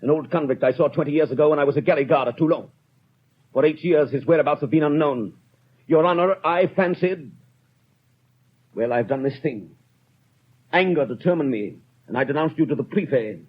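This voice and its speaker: A man's voice: fairly high pitch, brisk, long pauses, slightly rough. A man playing a stern, rigid police inspector in 1930s radio drama style, with a clipped, formal, unbending manner. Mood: stiff, formal. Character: stern, rigid, self-punishing male French police inspector. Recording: dull old 1930s radio recording.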